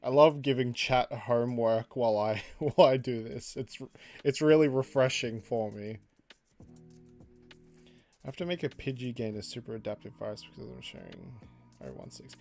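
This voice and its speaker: A man casually chatting on a livestream while he draws, reading out chat messages, laughing often and jumping up in pitch for jokes. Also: moderate pace, gravelly, a little mellow, dry recording, faint music behind.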